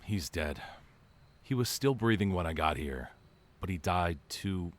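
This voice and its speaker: A man's voice: deep voice, expressive, measured pace. A man playing a blunt, steadying policeman in an old-time radio style drama. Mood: firm, calming. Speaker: actor.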